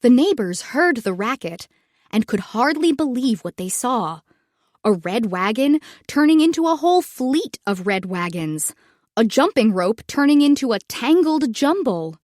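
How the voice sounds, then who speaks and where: Lady reading a kids book out loud, nice and slow, voice goes up and down a lot. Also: a little high, no echo, studio quality. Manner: expressive, measured read-aloud for young children. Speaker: storyteller reading a children's book aloud. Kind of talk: scripted children's storybook narration.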